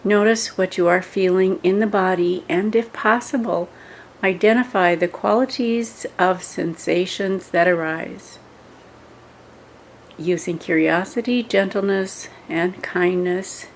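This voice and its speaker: Woman, meditation instructor, slightly low pitch, very slow measured delivery, short phrases with pauses, faint background noise. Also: steady tone, poor audio. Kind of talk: scripted guided meditation instruction.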